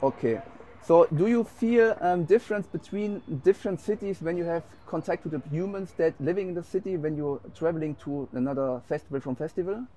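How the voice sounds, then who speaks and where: A man whose first language is German, interviewing a dance teacher at a festival in halting English and searching for words. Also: fairly high pitch, mellow, slight background noise, low-quality recording.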